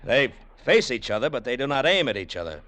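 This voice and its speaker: An actor playing a bold, shrewd adventurer hero in a golden-age radio drama. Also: male voice, mid-range voice, very animated, quick pace, fairly bright tone. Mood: taunting. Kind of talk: 1940s-50s radio drama acting. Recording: vintage radio broadcast recording.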